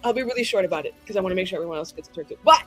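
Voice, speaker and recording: A woman's voice: medium pitch, lively intonation, rapid-fire, soft background music. A woman chatting casually and expressively in slang as a player in a tabletop roleplaying game. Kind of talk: casual, improvised game chat.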